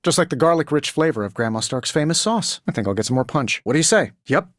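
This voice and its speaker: An actor voicing a cocky, wisecracking genius inventor superhero in a video game, with an American accent and a sarcastic, glib delivery. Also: male voice, a little high, very animated, fast, fairly bright tone, no room sound, studio quality. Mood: wry banter. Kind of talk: video game character voice lines.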